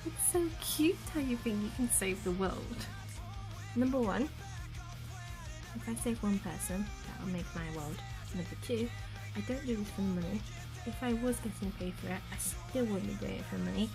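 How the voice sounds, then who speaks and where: A young woman with a slightly croaky voice from a sore throat, talking earnestly to camera in a casual vlog, full of "you know" fillers. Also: medium pitch, rough recording. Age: young adult. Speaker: vlogger. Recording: music playing in the background.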